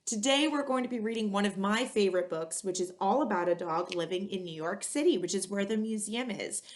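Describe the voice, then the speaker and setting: A woman hosting a children's read-aloud, speaking in clearly phrased storytelling style. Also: mid-range voice, fairly quick. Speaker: museum educator and read-aloud host. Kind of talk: reading a children's picture book aloud.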